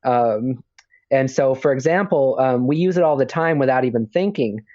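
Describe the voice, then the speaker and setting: A man on a podcast talks in a loose, halting way, circling back with fillers and restarted phrases. His voice sits in an ordinary middle range. The audio is dull and lo-fi, with no room sound. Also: medium pitch, a little mellow, no room sound. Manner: hesitant, many fillers and restarts. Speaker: podcast co-host. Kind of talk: casual chat.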